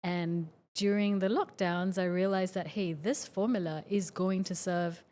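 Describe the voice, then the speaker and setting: A woman in her forties from Singapore chats easily as a podcast guest, her voice sitting a little on the low side. She talks in a loose, conversational flow full of small fillers. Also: unhurried, slight background noise. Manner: chatty, relaxed, frequent fillers. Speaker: guest, functional medicine doctor. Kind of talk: casual interview talk.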